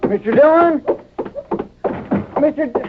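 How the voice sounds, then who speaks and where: An actor playing an eager, anxious deputy sidekick in a radio western, with a folksy rural American accent. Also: male voice, very high voice, lively intonation, unhurried, over loud music. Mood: urgent. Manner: folksy, earnest. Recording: tinny old radio recording, faint noise.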